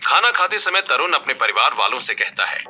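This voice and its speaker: A man's voice: medium pitch, big pitch swings, a little fast. A man reading scripted narration as the storyteller of a family tale. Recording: faint background music.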